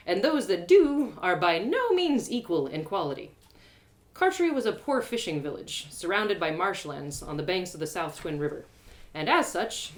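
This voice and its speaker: A woman reading her own audio drama aloud live, with an expressive delivery, often laughing at her own lines. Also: mid-range voice. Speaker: storyteller.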